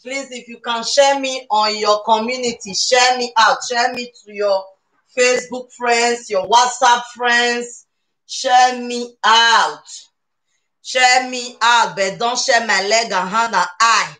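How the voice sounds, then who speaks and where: A woman's voice: a little high, steady tone, slow, sharp tone, poor audio. A woman with a Nigerian accent hosting a casual cooking live stream from her home kitchen, chatting playfully and exuberantly and often repeating phrases. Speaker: cooking show host. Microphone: webcam or phone camera at arm's length to a few steps away.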